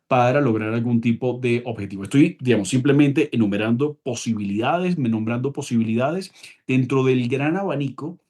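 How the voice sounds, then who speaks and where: A man's voice: medium pitch, moderate pace, a little mellow. A man giving spoken political analysis as an interviewed expert, explaining emphatically and often repeating and correcting himself.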